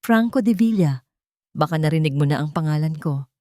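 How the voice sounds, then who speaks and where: A smug, condescending rich young man, read by a woman in her own low voice. His speech is cold and mocking, and his pitch rises and falls freely. The sound is close and dry. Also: lively intonation, a little fast, a little mellow, dry recording.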